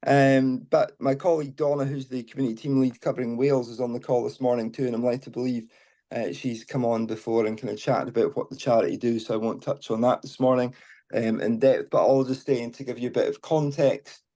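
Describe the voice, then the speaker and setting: A man's voice: medium pitch, level tone, brisk, warm tone. A man with a Scottish accent, a charity team lead, giving an informal unscripted talk over a mobile phone to an online meeting, with lots of fillers. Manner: informal, with many fillers and restarts.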